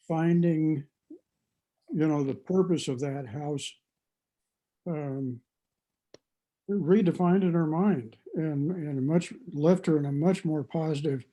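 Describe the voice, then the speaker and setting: A man with a very dark, mellow tone and a slightly high pitch gives his view in a book discussion. He speaks slowly and haltingly, searching for the right words. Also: low-quality recording. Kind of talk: reflective opinion in group discussion.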